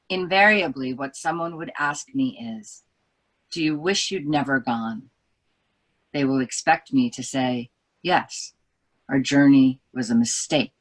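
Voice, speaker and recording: A woman voicing a troubled, reflective young wife in a literary reading, in a measured, quiet way. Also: rumbling low, low-quality recording. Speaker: book editor.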